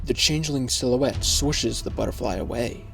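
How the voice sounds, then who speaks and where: A man narrating a scripted fantasy story in a measured, descriptive way. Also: medium pitch, gravelly, fairly bright tone, faint music behind.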